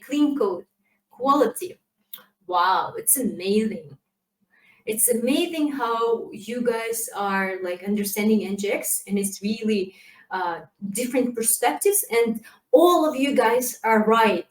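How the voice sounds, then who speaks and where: A woman with a slightly high voice gives a lively, casual conference presentation, chatty and full of fillers. She is heard from a distance in a roomy space through a laptop mic. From Kazakhstan. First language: Kazakh. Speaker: community manager giving a talk.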